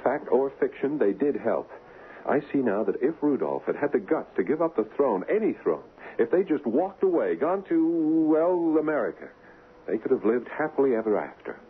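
An actor playing a devoted, defiant young fiancé in a 1970s radio drama, talking casually and emphatically. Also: male voice, medium pitch, sing-song, moderate pace, husky. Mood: teasing, resolute. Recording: muffled narrow-band old radio, faint noise.

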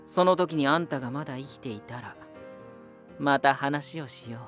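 An actor playing a proud, ominous lighthouse spirit hardened by war, deliberate, cryptic and condescending, in a dramatic voice drama. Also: male voice, mid-range voice, a bit bright, soft background music, close to an everyday voice. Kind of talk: dramatic Japanese voice drama acting.

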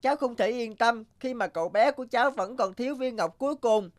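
A woman's voice: on the high side, a little fast, lightly acted. A woman voicing an anxious, earnest little boy guardian angel in a cartoon, with a childlike, insistent manner. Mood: worried, impatient. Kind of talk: Vietnamese cartoon dubbing.

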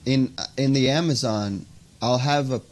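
American man talking haltingly on a video call. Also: mid-range voice. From United States. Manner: casual, halting, lots of "you know" and "um". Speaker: podcast guest. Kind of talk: interview conversation. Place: indoors by a window, video call. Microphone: earphone mic on a video call.

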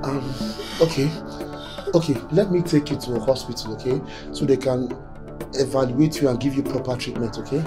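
A man's voice: mid-range voice, normal speed, over music, close to an everyday voice. An actor playing an agitated, protective boyfriend in film dialogue, insistent and repeating himself as he pleads. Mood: defensive, placating. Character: adult boyfriend, agitated, protective, then disbelieving and placating.

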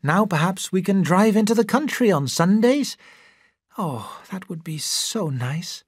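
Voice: medium pitch, huge pitch swings, very slow, husky, dry recording, studio quality, pitched above the performer's own voice, heavily acted. A narrator voicing a young wife in an audiobook, gushing and breathless with delight. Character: young wife, thrilled and affectionate. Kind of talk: audiobook character voice.

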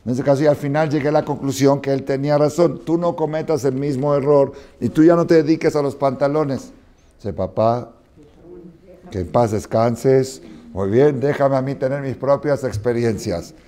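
A man in his sixties teaching a Torah class in a study hall, expressive and often asking his listeners whether they follow. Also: medium pitch, normal speed. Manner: expressive, questioning listeners, acting out dialogue. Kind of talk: animated Torah lesson with parables. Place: study hall with wooden chairs, indoors.

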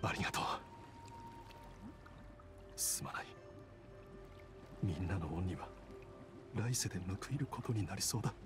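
A man's voice: low-pitched, a little slow, long pauses. An actor playing an ailing young man in an anime game, earnest, wistful and resigned to sacrifice. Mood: resigned, sorrowful. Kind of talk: Japanese anime game acting. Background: background music.

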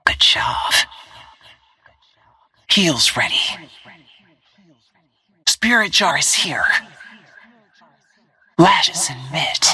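Breathy, whispery female assassin. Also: animated, drawn-out words, pauses often, no room sound, heavily acted. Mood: hushed, focused. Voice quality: breathy, hushed, husky. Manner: terse, clipped phrases in a low-key, controlled delivery. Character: stealthy, cool-headed female assassin. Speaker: video game voice actor. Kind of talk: short in-game callout lines.

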